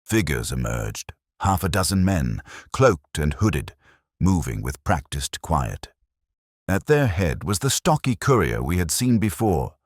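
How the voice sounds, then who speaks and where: A man with an extremely low, slightly husky voice narrates a mystery tale in a grave, formal manner. His measured delivery rises and falls expressively, as in a dramatic audiobook. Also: very animated, dry recording, clean studio sound.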